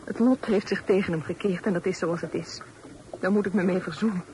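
A woman playing a proud, sensitive young lady in a period radio drama, formal and earnest, halting when upset. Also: lowish voice, natural voice. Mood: wounded, reproachful. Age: young adult. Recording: slightly noisy old radio recording.

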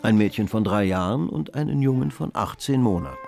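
A man narrating a literary radio drama in a measured, deliberate storytelling way. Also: on the low side, expressive, gravelly, darkish tone. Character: male narrator of a 19th-century novel, measured storyteller. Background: music behind the voice.

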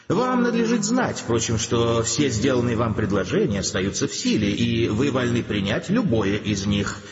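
A man's voice: medium pitch, a little mellow, lightly acted. Guy playing stuffy official alien messenger in a radio play, very echoey, voice goes up and down a lot. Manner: officious, formal, stilted bureaucratic speech. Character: pompous male emissary of an alien supercivilization. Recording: echoey hall, long reverb, dull sound.

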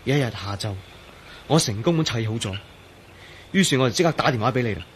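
An actor in a radio drama playing a troubled, excitable man who tells of his ordeal in Hong Kong Cantonese, with sighs and hesitation. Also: medium pitch, fast talker, slight background noise, lightly acted. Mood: troubled recounting. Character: troubled man named Ah-Yin, turned invisible, recounting his ordeal.

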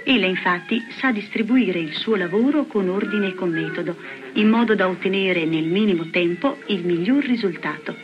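A woman's voice: medium pitch, normal speed. A woman reading prim, precise scripted narration in a vintage documentary style. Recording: dull lo-fi archive soundtrack with music.

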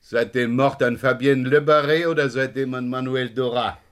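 An actor playing a French chief inspector in a German crime radio play, brisk, probing and wryly authoritative. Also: male voice, medium pitch, steady tone, fairly bright tone, lightly acted.

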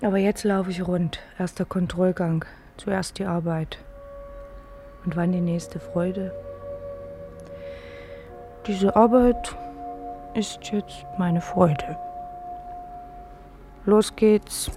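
A woman's voice: fairly low pitch, fairly even intonation, very slow, long pauses, over music. An actress playing an earnest, dreamy working woman in a German radio play, speaking simply and hesitantly. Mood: wistful, reflective. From East German mountain village. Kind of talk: German radio play acting, intimate monologue and dialogue.

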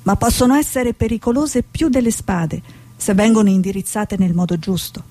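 An actress playing an earnest, idealistic female war reporter, speaking in a measured, reflective, theatrical way. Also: medium pitch, mellow, natural voice. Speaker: stage actor. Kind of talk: stage drama, dialogue and reflective monologue.

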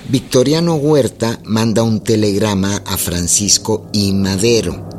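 A man's voice: fairly low pitch, unhurried, darkish tone, slight background noise, lightly acted. A man acting as the solemn narrator of a historical radio drama, reading scripted narration. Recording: music behind the voice.

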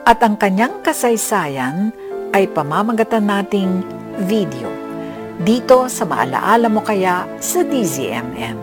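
A woman's voice: medium pitch, sing-song, measured pace. A woman narrating a radio drama in a scripted, dramatic storytelling style. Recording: music under the narration.

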